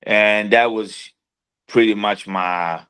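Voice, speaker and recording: A man in his thirties with a lowish voice that still carries a bright, forward edge. He talks in a relaxed, slangy street manner as a guest on a podcast. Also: slow pace, clean tone. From Sweden. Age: 30s. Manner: laid-back, slangy street talk. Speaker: boxer, guest. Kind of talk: casual chat.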